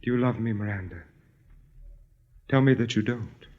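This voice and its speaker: An actor playing an arrogant, tormented aristocratic husband in a 1940s-style radio drama, with formal, mocking, theatrical diction. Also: male voice, fairly low pitch, normal speed, a little mellow, lightly acted. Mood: intense, pleading. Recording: dull, muffled old radio recording.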